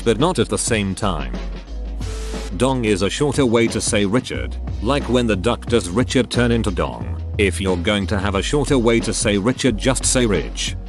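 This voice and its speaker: A synthetic man's voice reading out forum posts as scripted narration, in a flat and literal manner. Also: lowish voice. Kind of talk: scripted text-to-speech narration.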